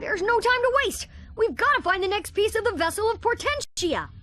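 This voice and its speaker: A woman's voice: very high-pitched, expressive, fairly quick, sharp tone, soft background music, full character voice. A woman voicing a brainy child genius in a cartoon game, precise, explanatory and urgent.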